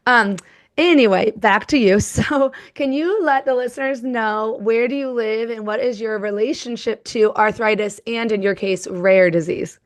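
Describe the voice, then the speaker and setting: A woman's voice: fairly high pitch, normal speed. Woman podcast host, slightly scratchy. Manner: warm interviewer, laughs easily, explains terms for listeners. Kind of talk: casual podcast interview.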